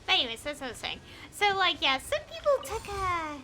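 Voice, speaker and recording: High-pitched, animated young woman streaming. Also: a little fast, background noise. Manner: rambling, hesitant, thinking aloud. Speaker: game streamer. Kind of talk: casual live gameplay chat. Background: game music.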